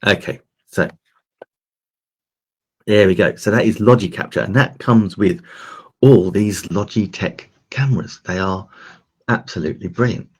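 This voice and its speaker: A man hosting a livestream, chatting loosely through a software and gear demo with lots of fillers, his voice a little croaky. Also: on the low side, measured pace, pauses often. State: slightly croaky voice. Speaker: host demonstrating streaming gear. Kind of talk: casual tech demo talk. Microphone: desk microphone.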